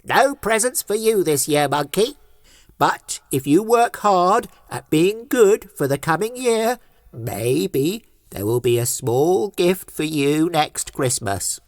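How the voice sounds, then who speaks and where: A woman voicing a stern, sardonic male Christmas elf with a calm, measured, mocking storybook delivery. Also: deep voice, no room sound, full character voice. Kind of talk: storybook character voice.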